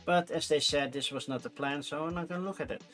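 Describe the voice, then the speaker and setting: A man's voice: fairly high pitch, quick pace, fairly bright tone, faint music behind. Chatty Dutch-accented man, rambling. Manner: rambling, chatty, self-correcting with frequent fillers. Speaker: channel host. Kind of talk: casual solo chat to camera.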